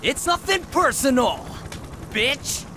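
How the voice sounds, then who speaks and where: A man's voice: extremely high pitch, sing-song, slow pace, heavily acted. An actor playing a cocky, flippant young henchman in a video game, taunting his enemies with a drawl. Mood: mocking. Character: cocky, flippant male villain henchman, lanky young fighter. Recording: noisy machinery behind.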